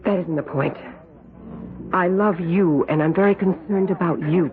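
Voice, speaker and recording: Brief: actress as a dark, sharp-tongued psychic sister, radio play, thin old sound. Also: female voice, lowish voice, moderate pace, crowd noise, natural voice. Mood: brooding. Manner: intense, warning, brooding. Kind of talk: 1970s radio drama acting. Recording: phone-like old radio recording.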